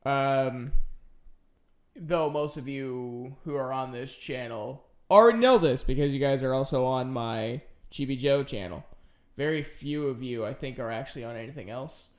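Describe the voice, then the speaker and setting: A man hosting a solo podcast, chatting casually and unscripted in a rambling, halting way. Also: medium pitch. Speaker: podcast host and gaming video creator.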